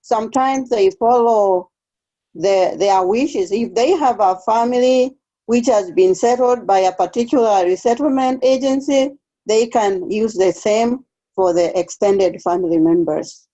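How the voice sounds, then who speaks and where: A Kenyan woman talks slowly and carefully, stopping to restart her sentences as she answers questions in an online seminar. Her voice is mellow and unhurried. The video call audio sounds dull and lo-fi. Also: medium pitch. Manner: careful, hesitant, with pauses and restarts. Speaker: case aide, guest speaker.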